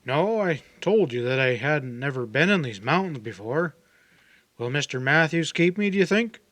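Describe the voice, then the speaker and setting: A man voicing a reserved, weary older gentleman in an audiobook, speaking in a measured, formal way. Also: on the high side, expressive, no room sound. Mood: impatient. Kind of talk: audiobook character dialogue.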